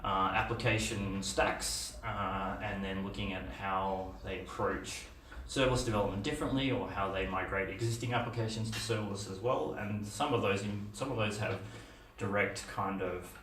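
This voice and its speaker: A man's voice: on the low side, steady tone, moderate pace, hardly any pauses, a bit bright, a little hiss or hum. Australian man talking in an echoey room. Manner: conversational, many fillers and restarts. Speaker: meetup speaker. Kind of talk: conference talk. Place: dim meetup room with a projector screen. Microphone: far camera. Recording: echoey room, distant mic.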